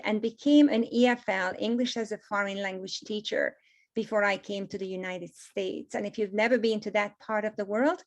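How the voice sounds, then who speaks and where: Hungarian-accented woman presenting a webinar. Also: mid-range voice. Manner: gracious, emphatic repetitions. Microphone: video call.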